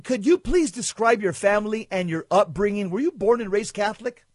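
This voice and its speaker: High-voiced earnest male radio co-host. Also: lively intonation, fairly quick, no room sound, studio quality. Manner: earnest, preacher-like. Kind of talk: radio talk and interview.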